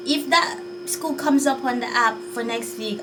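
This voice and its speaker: A woman's voice: high-pitched, a little slow, distant mic, noisy background. A Jamaican woman vlogging in a chatty, unsure way, sighing as she thinks things over. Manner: chatty, musing and unsure, with sighs. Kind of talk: casual talk to camera.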